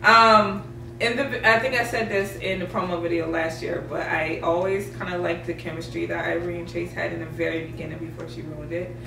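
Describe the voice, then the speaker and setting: A woman's voice: fairly low pitch, fairly even intonation, a little fast, creaky. Woman talking casually in a group interview, roomy echo, noisy, bit distant. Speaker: interviewee from an audio drama cast. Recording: echoey noisy room, distant mic.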